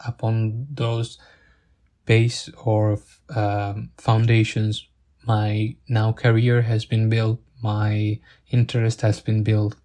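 A young man speaking English as a second language, taking his time and often stopping to hunt for the right word. His low voice stays level and even, with little rise or fall. He sounds earnest and grateful throughout. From outside the UK. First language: not English. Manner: halting, searching for words, with restarts. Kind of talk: personal thank-you monologue.

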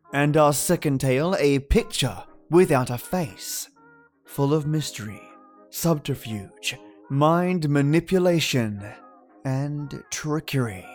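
A man's voice: medium pitch, darkish tone. A man hosting a podcast, delivering a scripted introduction in a theatrical way that savours each phrase. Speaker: podcast host. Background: music behind the voice.

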